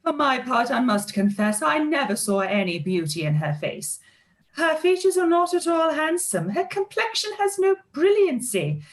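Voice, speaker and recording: Woman doing a snobby high society miss, really high voice, super animated, spiteful and affected, period radio drama. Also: normal speed. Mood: catty, scornful. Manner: affected, drawling, disdainful. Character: haughty, spiteful young society lady, sneering and catty. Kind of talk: period radio play live read.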